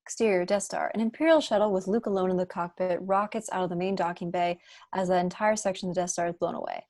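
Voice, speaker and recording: A woman's voice: lowish voice, fairly even intonation, fast, darkish tone, no echo, clean studio sound. A woman narrating the stage directions of a screenplay at a table read.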